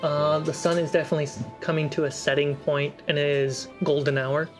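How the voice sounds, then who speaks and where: A game master improvising descriptive narration for a tabletop fantasy game in halting phrases. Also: mid-range voice, level tone, faint music behind.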